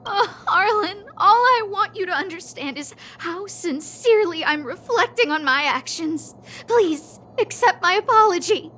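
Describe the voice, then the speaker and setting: Very high-voiced, melodramatic young noblewoman. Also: animated, unhurried, pushed and bright, exaggerated voice. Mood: tearful, apologetic. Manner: theatrical, over-earnest, self-accusing. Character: melodramatic, guilt-stricken young noblewoman, earnest lead researcher. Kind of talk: anime-style video game voice acting.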